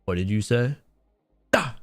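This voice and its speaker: A young man casting a mobile shooter tournament on a live stream, with a relaxed, soothing tone and a casual style that keeps talking to chat. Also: mid-range voice, measured pace, dry recording, clean studio sound, soft background music. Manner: casual, talks to chat, many restarts. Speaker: esports tournament caster. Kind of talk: live stream esports commentary.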